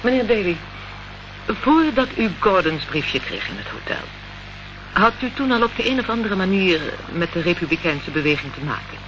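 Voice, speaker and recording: A woman's voice: medium pitch, big pitch swings, normal speed, natural voice. Guarded, swooping-voiced woman played by an actress. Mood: guarded, cool. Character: guarded, cool woman high up in a political movement. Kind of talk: Dutch radio play acting. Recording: dull, noisy old radio play recording.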